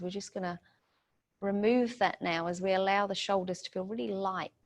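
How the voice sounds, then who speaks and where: Woman gently guiding a meditation. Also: mid-range voice. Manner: soothing, gentle instruction in short unhurried phrases. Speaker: meditation guide. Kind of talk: guided meditation.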